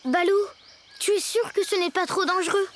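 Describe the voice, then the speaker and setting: A woman's voice: extremely high pitch, moderate pace, a little hiss or hum. A woman voicing a young jungle boy in a French cartoon dub, earnest and caring. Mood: concerned. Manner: earnest, concerned. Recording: music under the dialogue.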